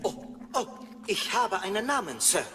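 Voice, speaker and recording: An actor playing a fussy, indignant translator droid in a radio play, prim, formal and precise. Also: male voice, high-pitched, big pitch swings, normal speed, pushed and bright, a little hiss or hum, exaggerated voice. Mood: flustered, indignant. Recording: reverberant studio drama with music.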